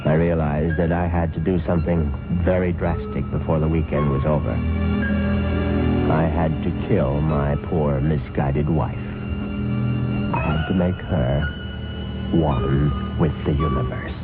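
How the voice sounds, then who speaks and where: A scheming greedy husband. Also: male voice, extremely low pitch, steady tone, a little slow. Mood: confessional. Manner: smooth, then flustered and scheming. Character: scheming husband plotting murder for money. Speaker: husband, narrator of the story. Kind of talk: 1970s radio drama acting. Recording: tinny old radio broadcast with music.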